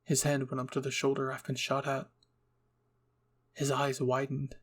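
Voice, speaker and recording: A man's voice: medium pitch, monotone, normal speed, slightly rough. A man reading scripted fan-fiction narration as a storyteller and channel host.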